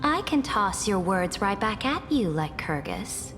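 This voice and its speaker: An actress voicing a proud, commanding female ruler in a video game, with a haughty, measured and cutting delivery. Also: mid-range voice, sing-song, a bit bright. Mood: scornful, challenging. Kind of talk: dramatic video game voice acting. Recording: long reverb, music behind. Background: music.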